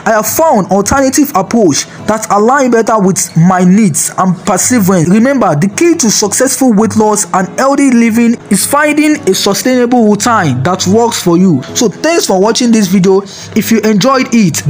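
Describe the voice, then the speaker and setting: A man narrating a scripted health video as the channel host, reading evenly with a sometimes sing-song lilt. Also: high voice. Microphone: voice-over. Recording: faint background music.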